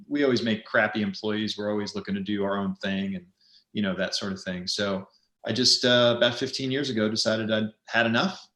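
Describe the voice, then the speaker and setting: A man's voice: mid-range voice, fairly bright tone, reverberant. A man in his fifties co-hosting an online business event, chatting casually with a rambling, self-deprecating humor. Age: 50s. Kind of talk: casual webinar chat.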